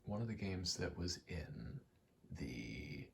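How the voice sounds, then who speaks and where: A man rambling casually in a soft, half-whispered ASMR style, telling stories in slangy, meandering, sweary speech. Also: deep voice, big pitch swings, slow, vocal fry, a little hiss or hum. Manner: soft-spoken, often dropping into whisper. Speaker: ASMR video host.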